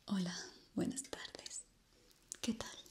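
A young woman from playing a polite, eerie hotel receptionist in an ASMR roleplay, formal and hushed. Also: lightly acted. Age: young adult. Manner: formal, slow, hushed and courteous.

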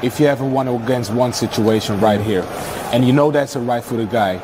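A man's voice: medium pitch, fairly quick, noisy background. A man who is a football pundit, breaking down tactics in a TV studio on a lapel mic, emphatic and prone to repeating himself. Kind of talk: studio match analysis.

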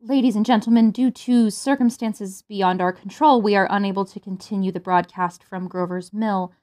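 A woman playing a composed radio news announcer in a 1930s-style drama, reading formal, measured bulletins. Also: medium pitch, steady tone, a little mellow, lightly acted.

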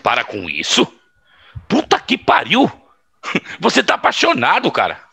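Gravelly slangy young pal, mocking, bright forceful voice, audio drama. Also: male voice, a little high, huge pitch swings, a little slow, heavily acted. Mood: crude, mocking. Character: Tarso, teasing, foul-mouthed young buddy.

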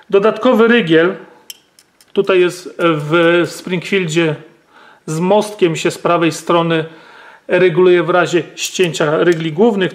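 A man, demonstrating and explaining a rifle step by step, with many fillers and tag questions. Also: high-pitched. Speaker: gun channel host. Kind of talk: hands-on firearm demonstration.

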